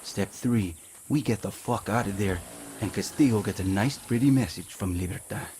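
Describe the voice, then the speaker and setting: A man's voice: fairly low pitch, moderate pace, mellow, slight background noise, lightly acted. A man playing a bitter, hostile guerrilla fighter in a video game, speaking in a curt, resentful, profane way. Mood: curt orders.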